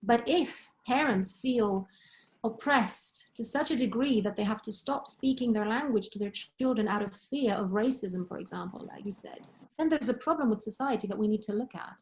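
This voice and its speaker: A woman in her thirties, a guest expert, speaking in a measured way from her living room on a video call, thinking aloud with frequent "you know"s. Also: a little high, a little hiss or hum, low-quality recording. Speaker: guest expert on language and culture. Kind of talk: webinar discussion. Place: living room at home, indoors. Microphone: laptop webcam on a video call.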